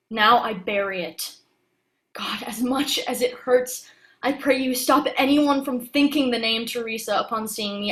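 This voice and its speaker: A woman's voice: on the high side, a bit bright. An actress playing a passionate, guarded young woman who secretly lives disguised as a male actor, in a theatrical audio play monologue. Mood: pleading.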